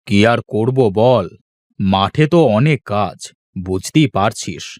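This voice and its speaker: Man doing a low-toned, bossy village landowner. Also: animated, measured pace, a little mellow, no echo, clean studio sound. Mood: instructing. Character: bossy, evasive village landowner, male employer. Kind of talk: Bengali moral-story cartoon voice acting.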